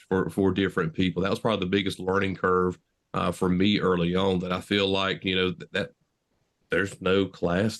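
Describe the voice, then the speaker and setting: Low, flat-voiced younger man. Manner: reflective, many fillers. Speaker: podcast co-host, EMS instructor. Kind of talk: casual chat.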